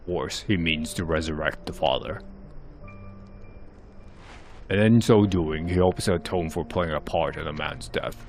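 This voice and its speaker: Man voicing a very deep, blunt fantasy conspirator. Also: a little slow, long pauses. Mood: urgent, scornful. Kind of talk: amateur narrated fantasy game cutscenes. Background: music.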